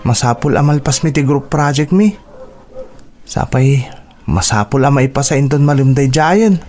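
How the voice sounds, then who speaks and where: A man's voice: mid-range voice, normal speed, a little mellow, soft background music, close to an everyday voice. A man acting a dutiful, respectful teenage schoolboy in an Ilocano radio drama, with an Ilocano accent. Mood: eager, helpful. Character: dutiful teenage schoolboy, poor and devoted to his mother.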